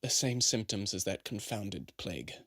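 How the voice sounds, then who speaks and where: An actor playing a gracious, ailing prince in a radio drama, with creaky, weary, refined speech and a stoical, kindly temper. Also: male voice, fairly low pitch, lively intonation, normal speed, bright, forceful tone, no room sound, studio quality.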